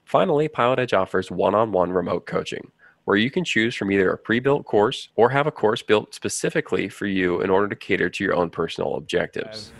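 A man's voice: fairly low pitch, animated, brisk, creaky. A man giving scripted, explanatory narration for a training video. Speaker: narrator of a flight training promo.

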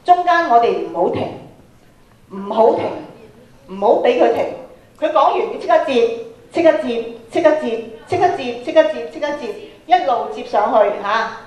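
A woman's voice: a little high, animated, moderate pace, a little mellow. Echoey Hong Kong Cantonese woman lecturer. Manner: didactic, prompting with questions, repeats phrases for emphasis. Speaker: workshop speaker, radio drama trainer. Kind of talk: interactive lecture to students. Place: large school auditorium, indoors. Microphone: handheld microphone. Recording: echoey auditorium PA sound.

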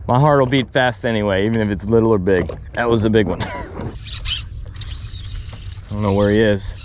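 A man in his thirties on a small boat, chatting excitedly and poking fun at himself as he fly fishes on camera. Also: fairly low pitch, moderate pace, slight background noise. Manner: excitable, chatty, self-deprecating. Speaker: fly fishing vlogger. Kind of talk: casual on-camera fishing narration. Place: small open boat on the water outdoors. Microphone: action camera on the boat.

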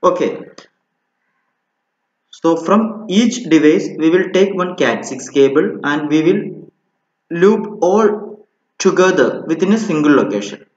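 A man's voice: on the high side, expressive, takes it slowly, a little mellow. A man giving an informal technical tutorial as an instructor, explaining step by step and often checking in with "okay". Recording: muffled, roomy, echoey home recording.

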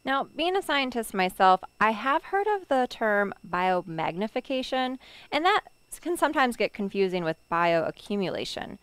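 A woman's voice: a little high, sing-song, normal speed, a bit bright, clear, resonant tone, dry recording. A young woman hosting an educational program, upbeat and asking guided questions into a headset mic in a studio. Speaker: host. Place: studio set.

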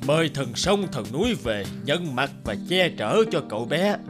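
A man voicing a solemn old male village elder in a cartoon, speaking ceremonially over loud music. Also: fairly high pitch, big pitch swings, moderate pace. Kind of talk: cartoon voice acting.

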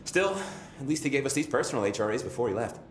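A man's voice: mid-range voice, lively intonation, quick pace, a little hiss or hum, lightly acted. A man playing a wisecracking security chief. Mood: wry. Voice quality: chuckling, wisecracking. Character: young male security chief, wry, jokey. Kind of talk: video game cutscene. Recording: echoey room.

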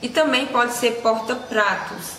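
A woman's voice: fairly high pitch, a little slow. A woman, casually presenting products to camera as a vlogger and seller. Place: small indoor room with shelves. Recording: echoey room with loud background noise.

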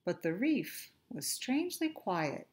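Slow-paced middle-aged woman reading a storybook. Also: mid-range voice. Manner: expressive, deliberate phrasing for young children. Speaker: teacher reading aloud to first graders. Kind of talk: children's storybook read-aloud. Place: small furnished room at home.

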